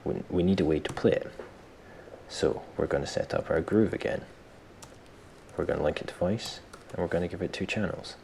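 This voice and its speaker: A man presenting a software tutorial step by step, informally thinking aloud as he explains. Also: low-pitched, vocal fry, a little hiss or hum. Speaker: tutorial host.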